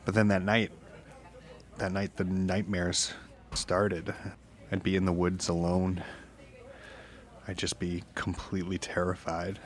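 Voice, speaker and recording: An actor playing a haunted witness in an audio drama, recounting eerie events in a halting, uneasy way. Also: male voice, deep voice, monotone. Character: haunted married truck driver recounting eerie encounters. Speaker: podcast guest, witness. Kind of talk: naturalistic audio drama storytelling.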